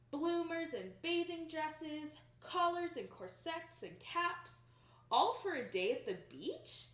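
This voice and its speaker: A young adult woman reading a picture book aloud to children in an expressive, emphatic storytelling manner. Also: sits high. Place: small plain indoor room. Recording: roomy indoor echo.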